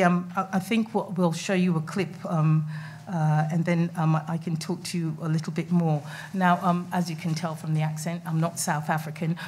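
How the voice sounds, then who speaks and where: A middle-aged woman with a fairly low voice speaks into a table mic at a festival panel. She talks in a chatty, rambling way, full of fillers and restarts. The sound carries the feel of a conference room with an audience. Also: darkish tone, slight background noise. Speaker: panel speaker, documentary maker. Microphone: table mic on stand.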